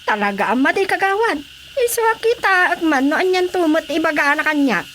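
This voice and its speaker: Casting: plaintive Ilocano village wife, high voice, radio comedy drama, faint noise behind. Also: female voice, expressive, a little fast, a bit bright.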